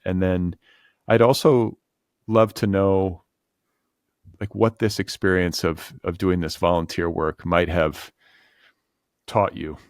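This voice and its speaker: American guy podcast host, deep voice, casual interview chat, dry studio-like sound. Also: no room sound.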